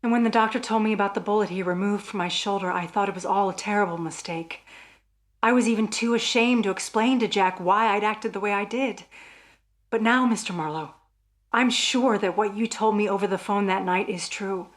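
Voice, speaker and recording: A woman's voice: medium pitch, fairly quick, close to an everyday voice. A woman playing an anxious married wife in a radio thriller, speaking earnestly and dramatically, as if fearing for her life. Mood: fearful, earnest. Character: anxious married woman convinced her husband plans murder.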